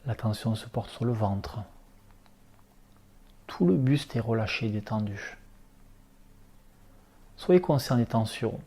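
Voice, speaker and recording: A man leading a guided relaxation meditation as an instructor, speaking calmly in short, unhurried instructions. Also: fairly low pitch, husky. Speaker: yoga nidra relaxation instructor.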